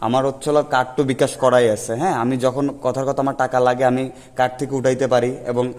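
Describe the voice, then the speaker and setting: A man's voice: mid-range voice, flat delivery, racing, darkish tone. A man in an unscripted phone conversation, arguing with the caller, repeating himself and cutting in. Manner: repetitive, interrupting, argumentative. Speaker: recipient of a scam phone call.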